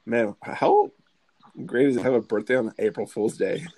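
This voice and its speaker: A man's voice: mid-range voice, moderate pace, mellow, low-quality recording. A young man co-hosting a casual student chat, laid-back and joking, chuckling often. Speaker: podcast co-host.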